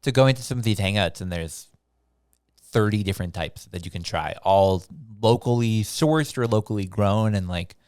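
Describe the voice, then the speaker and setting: A man's voice: fairly low pitch, normal speed, darkish tone, clear, resonant tone, no echo, studio quality. A man hosting a podcast, chatting easily and affirming his guest often. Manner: friendly, affirming, lots of backchannel. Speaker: podcast host. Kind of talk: casual podcast chat.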